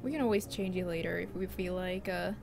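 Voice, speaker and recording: A woman's voice: fairly low pitch, fairly quick, vocal fry. A woman from Finland with a Finland-Swedish accent, whose first language is Swedish, chatting casually and thinking aloud as she plays a game. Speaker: let's play streamer. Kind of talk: casual gameplay commentary. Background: game music.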